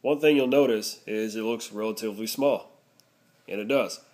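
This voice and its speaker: A man's voice: on the low side, moderate pace, a little mellow. A man narrating a casual product review, explaining things step by step.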